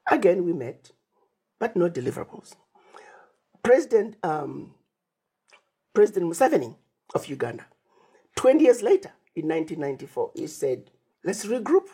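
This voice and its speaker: A woman's voice: on the low side, big pitch swings, normal speed, long pauses, mellow, breathy edge. A woman from Zimbabwe, an interview guest who speaks emphatically, laying out plans as lists and retelling conversations. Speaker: interview guest, Pan-African advocate.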